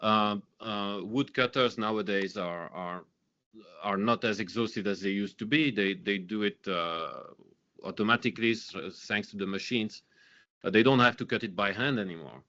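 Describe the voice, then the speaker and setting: Bright-voiced man giving a talk. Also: lowish voice, moderate pace. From Belgium. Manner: repeats and restarts, earnest. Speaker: speaker. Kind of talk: teaching talk. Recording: dull lo-fi sound.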